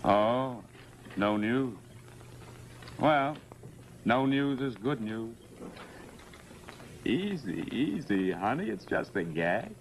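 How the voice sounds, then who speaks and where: An actor playing a breezy, reassuring boyfriend with a brisk, teasing manner in a mid-century suspense drama. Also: male voice, medium pitch, very animated. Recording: faintly noisy vintage broadcast.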